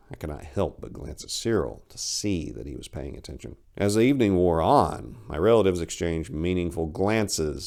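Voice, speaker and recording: A man giving a theatrical, measured dramatic reading of a literary short story as its narrator. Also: sits low.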